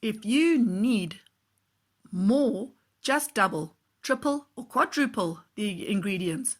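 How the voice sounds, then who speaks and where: A woman teacher reading a children's story aloud in an expressive, deliberate storytelling way. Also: medium pitch.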